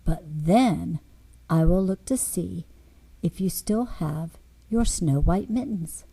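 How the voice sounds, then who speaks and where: A woman reading the part of a caring old grandmother in a storybook folktale, gently admonishing and deliberate. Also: on the low side, lively intonation, slightly rough, exaggerated voice. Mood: caring, firm.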